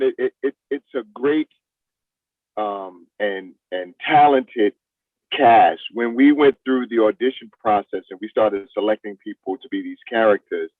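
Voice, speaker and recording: A man being interviewed as a play director, answering in a halting way, repeating words as he searches for the next one. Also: medium pitch. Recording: roomy, thin radio-like lo-fi sound.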